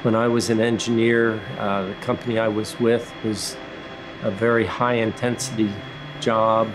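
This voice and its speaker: An older man, a retired engineer, reflecting slowly on his woodworking in a documentary interview, with many pauses and restarts. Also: on the low side, flat delivery, noisy background. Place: woodworking shop in a farm building.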